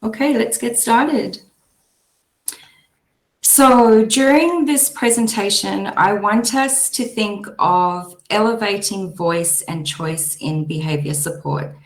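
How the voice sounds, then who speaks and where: A hoarse woman giving a webinar presentation in a chatty way that laughs easily. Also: medium pitch, takes it slowly. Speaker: presenter, behaviour support practitioner. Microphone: video call. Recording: echoey room.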